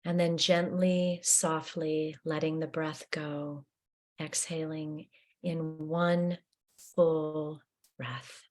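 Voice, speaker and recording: A woman leading a guided breathing meditation in a slow, gentle, soothing way with long pauses. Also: low voice, flat delivery.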